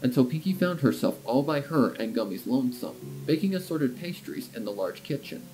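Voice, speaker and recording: A man's voice: mid-range voice, animated, brisk, poor audio. A man reading scripted storybook narration as the narrator of a fan fiction. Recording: background music under the voice.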